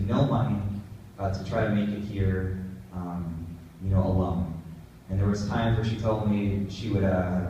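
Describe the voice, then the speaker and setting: A man's voice: lowish voice, mellow, muffled recording, a little hiss or hum. A young man in his twenties from California, giving a casual talk to middle schoolers with many fillers and restarts. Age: 20s. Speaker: guest speaker. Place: large echoey school room. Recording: echoey room from far off.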